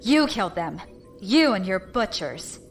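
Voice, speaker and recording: A woman's voice: medium pitch, sing-song, unhurried, soft background music. A woman playing a defiant, commanding rebel fleet leader in an audio drama. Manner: commanding, composed.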